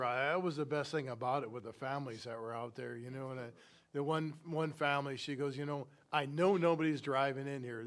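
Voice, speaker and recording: Man, council president, mid pitch, enthusiastic, repeats himself. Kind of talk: meeting chair remarks.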